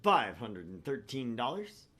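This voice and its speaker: A man's voice: medium pitch, sing-song, a little slow, bright, forceful tone. A man co-hosting a gaming channel, bantering casually and reading game text in a blunt, teasing way.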